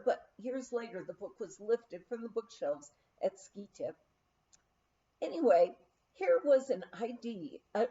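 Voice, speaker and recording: A woman reads a memoir aloud in an expressive, measured storytelling manner, on a somewhat dull recording. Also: mid-range voice. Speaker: audiobook reader.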